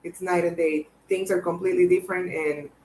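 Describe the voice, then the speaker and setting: A woman's voice: fairly low pitch, fairly even intonation, fairly quick, slight background noise. Shy young woman, echoey room. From El Salvador. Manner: soft-spoken, shy, hesitant. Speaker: guest on a livestream. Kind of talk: casual chat.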